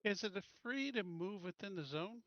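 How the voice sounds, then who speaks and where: A man with a fairly high, crisp voice talks casually as a tabletop player. His tone is bright, recorded dry with a slightly dull top end. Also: animated, normal speed, no echo. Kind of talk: casual tabletop game chat.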